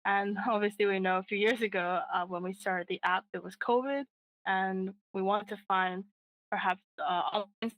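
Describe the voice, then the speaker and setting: A woman's voice: medium pitch, a bit bright, slight background noise, rough recording. A woman co-founder answering interview questions in an earnest way, with frequent fillers and restarts. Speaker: app co-founder, guest.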